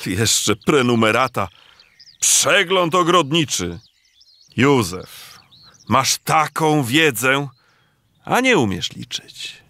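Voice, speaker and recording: Teasing, animated village neighbour. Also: male voice, medium pitch, takes it slowly, long pauses, no echo, heavily acted. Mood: curious, needling. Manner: playful, theatrical, exclaiming. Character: teasing, jovial village neighbour man urging a bachelor to marry. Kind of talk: rhymed radio play for children.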